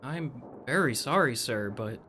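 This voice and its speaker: A man's voice: mid-range voice, lively intonation, measured pace, noisy background, lightly acted. A man playing a patient, polite hospital attendant in a radio play, explaining things plainly. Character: brisk, patient hospital attendant breaking bad news.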